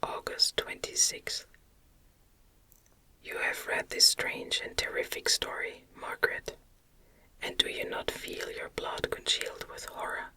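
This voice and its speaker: A woman reading a gothic novel aloud as ASMR in a gentle, soothing, breathy whisper. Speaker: ASMR narrator reading an audiobook.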